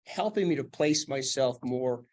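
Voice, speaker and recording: A man on a panel, an animated and joking storyteller who used to preach. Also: mid-range voice, a little slow. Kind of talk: panel discussion.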